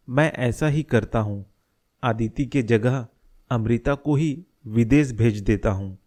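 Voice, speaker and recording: A man acts as a worried middle-aged cartoon father. He speaks slowly at a medium male pitch, laying out each thought earnestly and deliberately. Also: a little mellow, natural voice. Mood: resolving, planning. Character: worried middle-aged father scheming to protect his family. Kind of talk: Hindi animated moral story dialogue.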